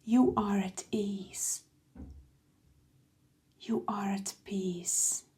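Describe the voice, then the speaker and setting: A woman leads a guided meditation at a very unhurried pace. Her tone is soft and mellow, soothing and hushed, and her phrases often end in a breathy near-whisper. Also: mid-range voice, fairly even intonation. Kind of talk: slow guided meditation, scripted.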